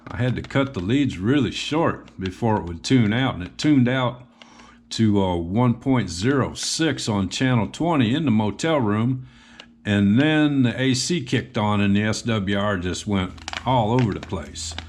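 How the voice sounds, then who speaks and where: A man's voice: on the low side, animated, unhurried. A man giving a casual, unscripted show-and-tell as a hobbyist host, speaking in a plain, hesitant way.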